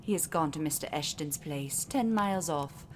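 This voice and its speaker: A woman's voice: low voice, lively intonation, normal speed. A kindly, motherly older housekeeper in a period audio drama. Her genteel, chatty voice swoops expressively as she fusses, with faint ambient noise behind.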